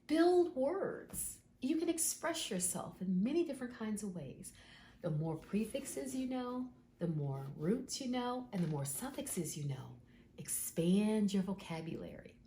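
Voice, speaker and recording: Slow, lively teacher woman in a roomy space. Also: mid-range voice, a little hiss or hum. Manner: slow, patient, drawn-out explaining; thinks aloud, repeats words. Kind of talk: explaining word roots in a recorded lesson.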